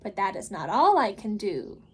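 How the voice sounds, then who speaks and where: A woman's voice: medium pitch, sing-song, normal speed, husky. A woman voicing a playful, boastful male cat in a children's storybook, exclamatory and coaxing in a singsong way. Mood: excited boasting. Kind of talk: children's storybook read-aloud.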